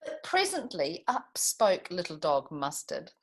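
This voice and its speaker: A woman reading picture books aloud to young children in an expressive way, with chatty asides and little tag questions. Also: lowish voice, a little slow, slight background noise. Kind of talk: animated picture-book read-aloud with asides to young listeners.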